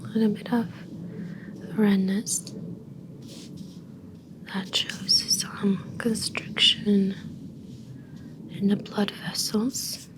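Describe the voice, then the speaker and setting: A woman whispering softly in an ASMR medical exam roleplay, speaking deliberately in short phrases with pauses between them. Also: mid-range voice, little pitch movement, poor audio. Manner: slow, deliberate, soft-spoken, pausing between short phrases. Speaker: ASMR performer acting as a medical examiner.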